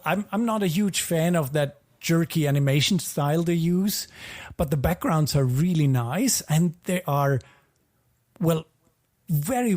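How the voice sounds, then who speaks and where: A man's voice: a little high, darkish tone. A man co-hosting a podcast in casual review chat, hesitantly qualifying his opinions and repeating words as he goes. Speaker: podcast co-host.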